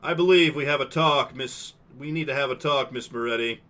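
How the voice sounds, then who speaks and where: A man's voice: mid-range voice, fairly quick, sharp tone, natural voice. A man voicing a massive older man on the school staff, talking with stern, stiff formality.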